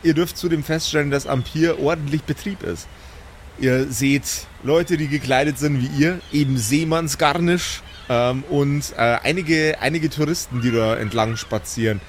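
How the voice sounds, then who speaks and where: A man narrating a live improvised tabletop game as game master, setting scenes in an unhurried way with small asides. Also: a little high, a little hiss or hum.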